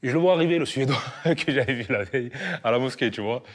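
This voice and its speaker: A man's voice: medium pitch, animated, fast talker, music in the background. A man talking about an old friend in a casual interview, chatty and full of fillers. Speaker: childhood friend.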